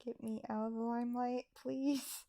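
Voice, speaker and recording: A woman's voice: on the high side, flat delivery, normal speed, gravelly, warm tone. A woman co-hosting a casual livestream and reading viewer messages in a self-deprecating, hesitant way. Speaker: livestream co-host and hobby voice actor.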